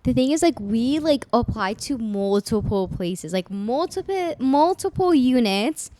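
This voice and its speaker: A woman's voice: fairly high pitch, expressive, unhurried, warm tone, a little hiss or hum. A woman in her twenties, co-hosting a podcast in casual chat, rambling with lots of fillers. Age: 20s. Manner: rambling, filler-heavy, laughs often. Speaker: podcast co-host.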